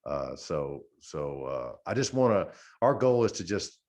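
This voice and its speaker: A man in his forties with a deep, low voice co-hosts a podcast. He speaks in loose, informal chat, sprinkling in dude and man like someone talking to buddies. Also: sing-song, unhurried, a little mellow. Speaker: podcast co-host, active-duty service member. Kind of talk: casual chat.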